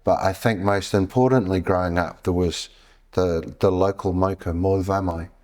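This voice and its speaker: A middle-aged man from Wales, a guest telling stories in a relaxed, reflective way during a casual interview. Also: low voice, moderate pace. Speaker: guest, astro-tourism guide.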